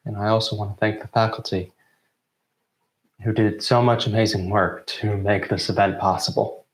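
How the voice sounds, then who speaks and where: Young male event host, low-pitched, formal and measured, recorded in a roomy echo. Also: little pitch movement. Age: 20s. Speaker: co-founder of student theatre group introducing an event. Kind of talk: formal welcome speech. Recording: roomy, echoing sound.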